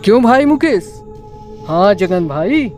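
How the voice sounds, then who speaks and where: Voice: mid-range voice, big pitch swings, slow, a little mellow, faint music behind. A performer voicing young village friends in a cartoon horror story, in a dramatic, exclamatory way. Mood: nervous, bickering. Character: frightened or cocky young village friends hunting treasure.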